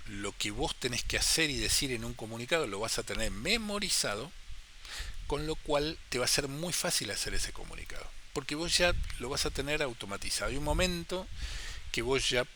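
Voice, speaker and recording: A man's voice: medium pitch, bright, forceful tone, a little hiss or hum. A man with a Rioplatense Spanish accent explaining amateur radio in a casual, chatty way, often repeating phrases and acting out questions he imagines from listeners. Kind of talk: casual how-to talk.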